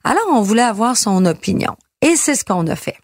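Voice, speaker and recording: A middle-aged woman from Quebec with a Québécois French accent, candidly telling her story as a country singer and often pausing on fillers. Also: fairly high pitch, sing-song, loud music behind the voice. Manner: candid, halting with frequent fillers. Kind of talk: personal storytelling.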